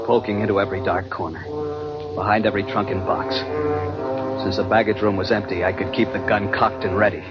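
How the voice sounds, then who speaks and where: An actor playing a grim, urgent adventurer who narrates in tense, deliberate, ominous 1940s radio drama style. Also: male voice, on the low side, level tone, close to an everyday voice. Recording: muffled old radio broadcast, musical backing. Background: dramatic music.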